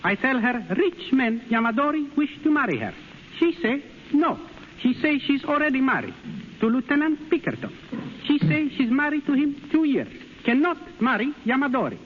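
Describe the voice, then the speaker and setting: High-voiced fawning Japanese broker. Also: male voice, sing-song, normal speed, big-room sound. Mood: deferential. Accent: put-on Japanese. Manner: obsequious, broken English. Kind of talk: 1930s radio acting. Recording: old muffled hissy 1930s radio broadcast.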